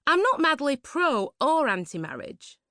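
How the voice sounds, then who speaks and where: A woman's voice: sits high, sing-song, a little slow, sharp tone, no echo, pitched above the performer's own voice, exaggerated voice. A woman reading a story, voicing a guarded thirty-six-year-old woman who answers in a dry, understated way.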